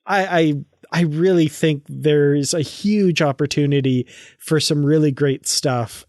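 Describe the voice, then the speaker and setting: Male co-host for casual podcast chat, moderately high pitch, informal and keen, very dry sound. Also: a little mellow, no echo.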